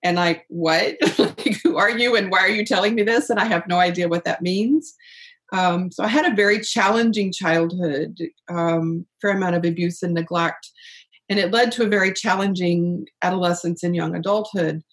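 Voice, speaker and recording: A middle-aged woman speaking earnestly and reflectively as an interview guest about purpose and spirit, with soft laughs. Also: fairly low pitch, steady tone, moderate pace. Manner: earnest, searching, laughs softly. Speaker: guest, author on life purpose.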